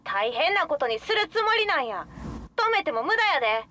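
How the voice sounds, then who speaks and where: Woman playing a hot-headed, high-pitched Kansai schoolgirl. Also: big pitch swings, normal speed. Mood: grimly determined. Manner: blunt, indignant, defiant. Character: hot-headed, proud, stubborn high school girl with a grudge. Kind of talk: radio drama acting. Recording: loud background chatter and street noise.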